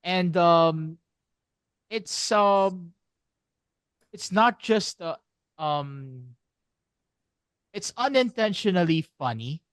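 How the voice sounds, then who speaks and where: A man with a fairly high, very clear voice, recorded close and dry. He explains things as a podcast co-host in a casual, halting way, often repeating himself. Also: animated, a bit bright, no echo. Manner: halting, circles back and repeats phrases.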